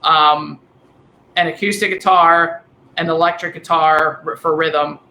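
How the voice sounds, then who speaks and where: A man with a high, thin voice and a Delaware County accent, a musician guest on a remote podcast call, rambling and restarting often. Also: flat delivery, pushed and bright, reverberant, low-quality recording. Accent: Delaware County, Pennsylvania. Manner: rambling, halting with many restarts. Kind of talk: casual remote podcast chat. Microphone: dynamic vocal mic over a call.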